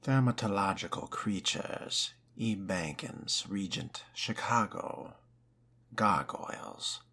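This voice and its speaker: A man voicing a wry, scholarly fledgling vampire in video game role-play, measured, theatrical and musing in delivery. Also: low voice, sharp tone, breathy edge, heavily acted. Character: cultured, wry male fledgling vampire, scholarly and self-possessed. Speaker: role-playing gamer. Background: music.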